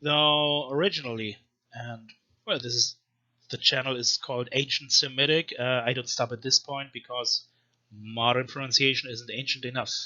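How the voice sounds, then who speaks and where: Bright, sharp-voiced man lecturing haltingly. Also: medium pitch, fairly even intonation, lo-fi sound. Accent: non-native Arabic accent when reading Arabic. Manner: hesitant, pausing, careful word-by-word explanation. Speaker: language teacher, presenter of a video series. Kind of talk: explanatory lecture with read-aloud text samples.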